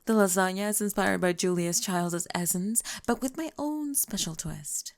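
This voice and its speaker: An airy psychic mom, acted out by a woman, intoning solemn mystical pronouncements in a lowered voice. She is theatrically spiritual, made for an intimate ASMR roleplay. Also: moderate pace, creaky, dry recording, close to an everyday voice. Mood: solemn, prying.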